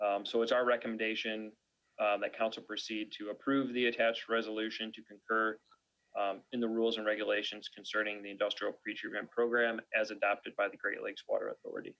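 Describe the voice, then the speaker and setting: A man's voice: on the low side, brisk, creaky, a bit bright, dull audio. A man giving a formal recommendation to a city council, hesitant and full of fillers.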